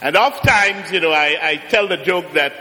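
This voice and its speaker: A middle-aged man from Trinidad with a Trinidadian accent, preaching a sermon as a guest preacher, slowing down and rising to emphatic peaks. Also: a little high, pushed and bright. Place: large church. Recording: long church reverb.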